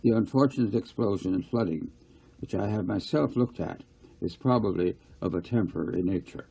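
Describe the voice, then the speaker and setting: Formal minister for an audiobook, acted by a male narrator, stiff and placating, mellow with some creak. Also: on the low side, normal speed, low-quality recording. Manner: stiff, wordy, placating officialese. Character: formal government minister making an awkward speech.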